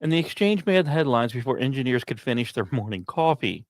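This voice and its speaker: A man hosting a podcast, reading scripted narration in a measured, dramatic storytelling way with occasional conversational asides. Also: medium pitch, animated, dry recording. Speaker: podcast host.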